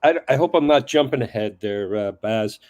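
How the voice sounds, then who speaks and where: A man's voice: mid-range voice, lively intonation, moderate pace, darkish tone, no room sound, highs cut off. Friendly American man, dry close sound. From United States. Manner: friendly, encouraging, laughs easily. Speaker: podcast co-host. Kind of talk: casual fan chat.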